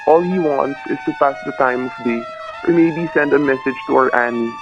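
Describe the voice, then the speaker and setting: A man playing a quick-thinking hostage husband in a radio thriller, talking and narrating in a tense, hard-boiled way. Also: medium pitch, lightly acted. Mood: anxious, placating. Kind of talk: radio thriller acting and first-person narration. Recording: dull, noisy radio-play recording.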